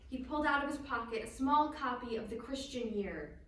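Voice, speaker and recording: A woman's voice: fairly high pitch, animated, a little fast, a bit bright. A woman narrating from a script, linking the passages of a dramatic reading. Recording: very echoey, distant, faint background noise.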